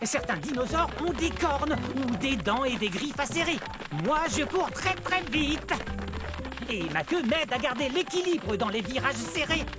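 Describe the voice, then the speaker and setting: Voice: high voice, lively intonation, unhurried, over loud music. An actor voicing a small, friendly, playful dinosaur in a children's cartoon, chatting directly to young viewers. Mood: playful, chatty. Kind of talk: animated children's cartoon narration.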